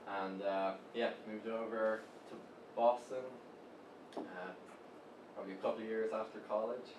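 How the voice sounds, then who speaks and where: An easygoing young Irish man chats through an interview, pausing on fillers and repeating himself. His voice sits a little low and sounds distant from the mic in a roomy space, with steady noise behind him. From Ireland. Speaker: guest, web agency co-founder. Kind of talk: casual interview talk.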